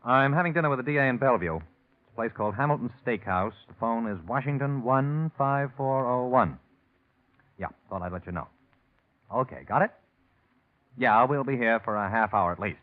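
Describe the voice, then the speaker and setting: A man's voice: medium pitch, moderate pace, lightly acted. An actor in a vintage radio drama playing a nervous, scheming male aide who stalls and feigns casualness. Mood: businesslike. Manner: hesitant, stalling, falsely casual. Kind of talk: 1940s radio acting. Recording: tinny, noisy vintage radio recording.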